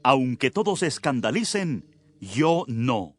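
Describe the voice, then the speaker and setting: A fiercely loyal disciple in a scripture audio drama. He is earnest and insistent, with a very animated, medium-pitched delivery. The sound is dry, with faint music underneath. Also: slow pace, husky, dry recording, heavily acted. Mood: earnest protest.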